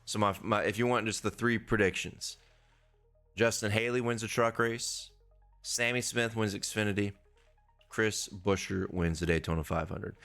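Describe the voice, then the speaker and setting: A hoarse man, a young adult, hosting a casual sports-betting preview and swearing freely in a loose, rambling way. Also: lowish voice, steady tone, normal speed, a bit bright, faint music behind. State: hoarse, losing his voice. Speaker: sports betting podcast host.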